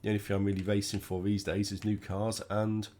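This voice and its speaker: A man talks through his racing game in a relaxed, chatty way, his voice croaky and stuffed up from a cold. He sits a little low in pitch and keeps thinking out loud as he drives. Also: a little fast. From United Kingdom. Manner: relaxed, chatty, thinking aloud while driving. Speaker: gaming channel host. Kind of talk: casual gameplay commentary.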